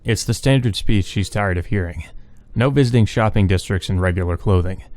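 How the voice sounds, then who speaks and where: Low-pitched man narrating a visual novel. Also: fairly quick, darkish tone. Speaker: let's play host reading a visual novel. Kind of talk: narration read aloud with brief asides.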